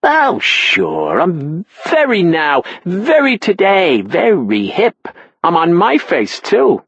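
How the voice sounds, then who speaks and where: A man's voice: high voice, huge pitch swings, takes it slowly, a bit bright, slightly rough, dry recording, voice pushed up, heavily acted. A man voicing a breezy, self-absorbed mother. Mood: breezy teasing. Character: chatty, teasing middle-aged mother. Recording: slightly dull, dry sound.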